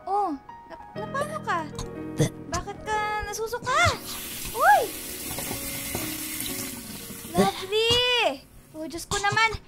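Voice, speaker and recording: Very shrill, hyper Filipino mom. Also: female voice, big pitch swings, a little slow, sharp tone, faint music behind, heavily acted. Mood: worried. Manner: hyper, nagging, exclamatory. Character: bubbly, fussy, doting middle-aged mother. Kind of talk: Filipino radio drama acting.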